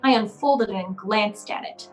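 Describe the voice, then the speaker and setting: A woman's voice: mid-range voice, moderate pace, loud music behind the voice, lightly acted. A woman playing a cynical, wry private eye, curt and hardboiled, in an old-time radio noir drama. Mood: wry narrating.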